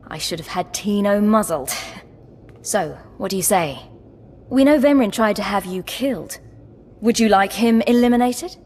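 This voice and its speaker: An actress playing a young female Sith acolyte in a video game, scheming, polite, measured and wryly persuasive. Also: mid-range voice, animated, a little mellow, slight background noise, close to an everyday voice. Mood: sly persuasion.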